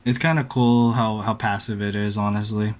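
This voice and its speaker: A young man with a fairly low voice chats easily on a podcast. He adds short agreements and laughs as the others talk, and keeps a loose, casual pace. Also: level tone, normal speed. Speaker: podcast co-host. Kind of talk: casual chat.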